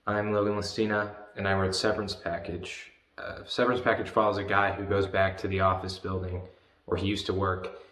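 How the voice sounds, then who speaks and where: Young man, student writer, casual explaining, monotone delivery, roomy echo. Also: sits low, fairly quick. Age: young adult. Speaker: student playwright. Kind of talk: casual introduction to camera. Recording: echoey room.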